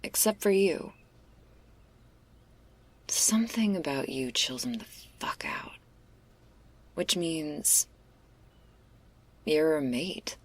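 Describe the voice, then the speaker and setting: Woman acting a slow, very deep-voiced stoner werewolf girl. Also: expressive, full character voice. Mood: confiding, hesitant. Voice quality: low, husky, gravelly.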